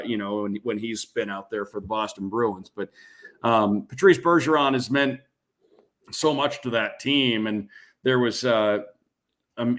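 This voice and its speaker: A man's voice: mid-range voice, a bit bright. A man who works as a radio play-by-play announcer, giving casual hockey analysis as a podcast guest. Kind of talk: casual sports analysis in an interview.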